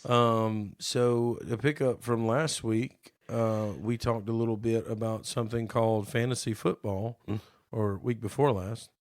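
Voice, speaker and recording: A man co-hosting a casual podcast, playful and teasing, his voice a bit hoarse from being sick. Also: medium pitch, steady tone, no echo, studio quality. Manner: playful, teasing, drawn-out reading aloud. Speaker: podcast co-host. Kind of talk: casual chat.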